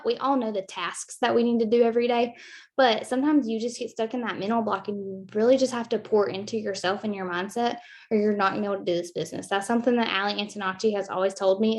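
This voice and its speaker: A woman, giving a casual, earnest motivational talk to her team on a video call and leaning heavily on "like.". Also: mid-range voice. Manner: casual, heavy "like" filler, earnest. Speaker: team leader.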